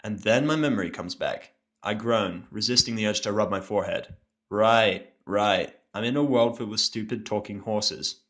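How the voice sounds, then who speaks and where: A man's voice: lowish voice, moderate pace, a bit bright, close to an everyday voice. Irritable, sarcastic male hospital patient, acted by a man. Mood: groggy, annoyed. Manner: blunt, cynical, swearing. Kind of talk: first-person audio drama narration and dialogue.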